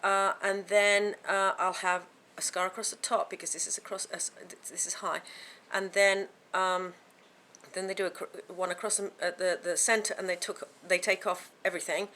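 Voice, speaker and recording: A woman vlogging to camera from home, with a croaky voice from a sore throat, chatting in a rambling way with many fillers and restarts. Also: medium pitch, little pitch movement, normal speed, pushed and bright, a little hiss or hum. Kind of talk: casual chat to camera.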